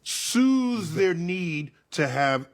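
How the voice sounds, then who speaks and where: A man explaining science in conversation as a guest, emphatic and theatrical, telling it like a story with dramatic pauses and check-in questions. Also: high-pitched, very animated.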